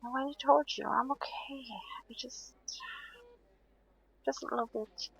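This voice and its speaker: A woman playing a tired, stubborn but playful patient in an intimate audio roleplay, murmuring sleepily and haltingly, often close to a whisper. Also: high voice, fairly even intonation, lo-fi sound, heavily acted. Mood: weary reassurance. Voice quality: breathy, often dropping to a whisper.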